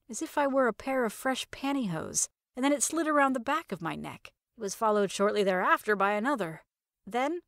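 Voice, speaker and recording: High, animated woman narrating fiction. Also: dry recording, studio quality. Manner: wry, expressive. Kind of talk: first-person dramatic story narration.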